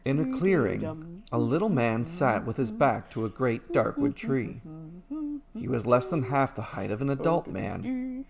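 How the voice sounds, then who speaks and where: A man reading a scripted fairy tale as a storyteller, in measured storybook phrasing with short dramatic sentences. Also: medium pitch, very animated, creaky, over a noisy crowd.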